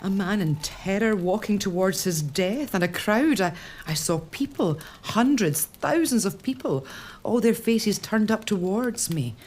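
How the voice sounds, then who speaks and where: A woman's voice: medium pitch, animated, measured pace, hardly any pauses, a little mellow, a little hiss or hum, close to an everyday voice. A woman playing a young pregnant noblewoman in a period audio drama, earnest and wry. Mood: frightened.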